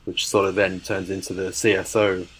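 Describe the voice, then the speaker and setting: A man speaking on a podcast with a low, husky voice. His remarks are dry and understated. He talks in a relaxed, conversational way. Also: moderate pace, low-quality recording. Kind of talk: casual podcast discussion.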